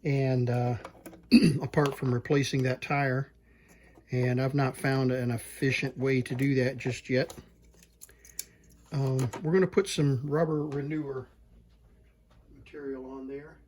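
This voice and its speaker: Male repair tech, unhurried running commentary, faint background noise. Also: medium pitch, flat delivery, a little mellow. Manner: slow, plain-spoken, thinking aloud while working. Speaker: repair technician. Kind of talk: hands-on repair walkthrough.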